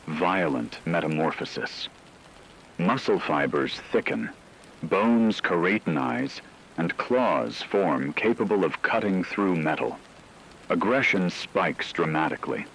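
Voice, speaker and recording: A man playing a solemn radio news anchor, reading a formal, measured emergency broadcast in a scripted drama. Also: low voice, creaky, fairly bright tone, slight background noise, exaggerated voice, rough recording. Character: grave male radio news anchor reporting a werewolf apocalypse.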